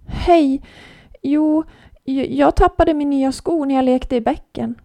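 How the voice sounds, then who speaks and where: A woman's voice: high voice, gravelly, warm tone, dry recording, voice pushed up. A woman doing a worried little girl.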